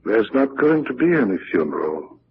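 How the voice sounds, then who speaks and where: A man's voice: fairly low pitch, big pitch swings, normal speed, vocal fry. An actor playing a stubborn, wealthy father with a clipped, commanding manner in a 1940s radio drama. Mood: brusque, determined. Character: imperious wealthy father, stubborn and morbidly determined. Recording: dull, hissy 1940s radio recording.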